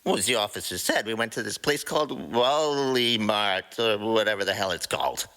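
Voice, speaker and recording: A man's voice: lowish voice, animated, normal speed, bright, forceful tone. A man voicing a cocky, defensive, snickering raccoon troublemaker in a comic fan fiction audio drama. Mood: cocky storytelling. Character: brash, snickering small male raccoon troublemaker.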